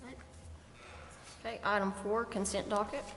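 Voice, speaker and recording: A woman's voice: mid-range voice, slight background noise. Woman chairing a meeting in an echoey chamber. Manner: steady, measured reading of legal text. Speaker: presiding council official. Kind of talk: formal meeting procedure, reading agenda items. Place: council chambers. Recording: echoey chamber with long reverb.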